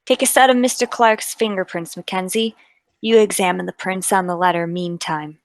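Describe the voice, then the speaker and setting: A woman playing a brisk, businesslike police inspector in a radio play table read, with a matter-of-fact manner. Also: fairly low pitch, fairly even intonation, close to an everyday voice. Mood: calm authority.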